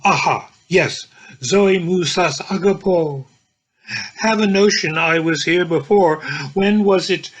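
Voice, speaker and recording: Muddled, husky drunken don, as a man reads him. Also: sits high, slow pace, fairly bright tone, heavily acted. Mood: impatient, muddled. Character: drunken Oxford don, impatient, muddled, groping for memories. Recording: dull lo-fi home recording.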